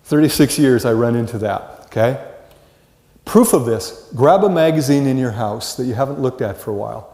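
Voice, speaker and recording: A reverberant hall carries the voice of an older American man lecturing to students. He speaks at a middling pitch, with a storyteller's delivery and plenty of rhetorical questions thrown out to the class. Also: moderate pace. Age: 60s or older. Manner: animated storytelling, rhetorical questions, acts out brief dialogues. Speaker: college psychology lecturer.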